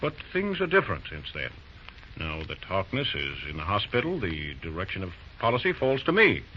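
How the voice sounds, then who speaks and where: A man's voice: low-pitched, wildly swooping pitch, moderate pace. An actor playing a pompous, stubborn, wealthy father and railroad president in an old-time radio drama, with a hemming, stammering, self-important delivery. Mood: firm. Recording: dull, noisy old radio recording.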